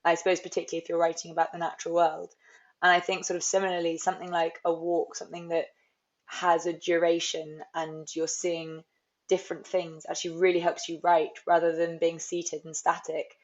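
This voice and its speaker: A young woman with a London accent talks through her writing life in an unhurried, reflective way, circling back and restarting her thoughts. Her voice sits on the lower side, and the recording is a little dull and lo-fi. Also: monotone. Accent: London English. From London, England. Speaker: author guest. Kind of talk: reflective interview talk. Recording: slightly dull, lo-fi audio.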